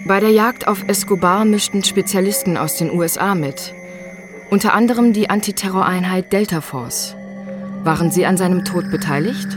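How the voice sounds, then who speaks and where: A woman reading scripted documentary narration. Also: fairly low pitch. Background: music.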